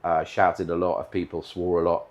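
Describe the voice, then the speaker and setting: A man in his fifties, originally from Britain, speaks in a fairly low register as a guest in an interview. He talks with confidence and emphasis, often lining up his points in repeated, list-like runs. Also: little pitch movement, moderate pace, highs cut off. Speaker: guest, live TV director. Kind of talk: interview answers.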